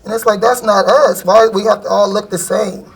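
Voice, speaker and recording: A woman's voice: mid-range voice, fairly even intonation, normal speed, vocal fry, husky, low-quality recording. A young woman speaking frankly and casually in a panel discussion, using slang.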